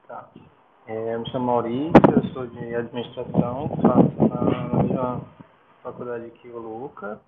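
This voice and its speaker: A man's voice: medium pitch, very noisy. A young man, a business student, introducing himself briefly on a video call, trailing off. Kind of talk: casual self-introduction. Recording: tinny phone-like call with loud clicks.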